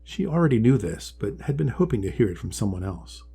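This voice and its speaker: Low, gravelly male storyteller. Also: very animated, fairly quick, warm tone. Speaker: author reading aloud. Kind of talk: scripted children's storybook narration.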